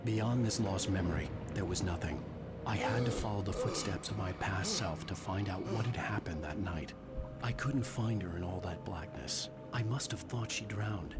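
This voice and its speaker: An actor playing a haunted male writer, narrating in a grave, measured way over loud game music. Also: on the low side, natural voice. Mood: somber. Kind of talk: scripted narration.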